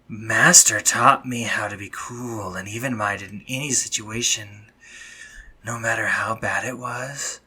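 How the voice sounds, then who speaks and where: A man playing an anxious, awkwardly tender spider-monster medic in a scripted romantic audio roleplay, speaking formally and haltingly with a breathy, slightly husky voice. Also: on the low side, fairly even intonation, bright, forceful tone, full character voice, low-quality recording. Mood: tense, self-scolding. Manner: formal, stammering, halting when flustered.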